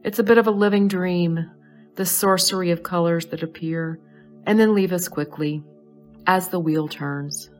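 A woman leading a guided meditation in a soothing, measured way, pausing between short phrases. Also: lowish voice, soft background music. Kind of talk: slow guided meditation narration.

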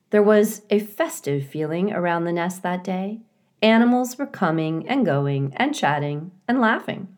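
A woman reading a children's book aloud as scripted narration, in a measured, expressive storytelling way. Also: on the low side. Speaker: storybook reader.

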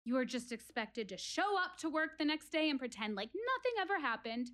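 A woman's voice: high voice, sing-song, brisk, a bit bright. An actress playing an earnest, wry woman philosophizing to her theater audience in a dramatic one-woman monologue. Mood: intense, bitter. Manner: dramatic, rhetorical, addressing listeners directly.

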